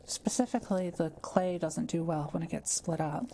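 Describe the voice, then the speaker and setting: Woman doing a kind witch for roleplay audio, mellow n lowish voice, gentle and careful, some bubbly noise behind. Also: normal speed, lightly acted. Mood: patient, explaining. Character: kind, quiet witch brewing a potion, gently reassuring. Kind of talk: soft-spoken roleplay audio, talking to the listener.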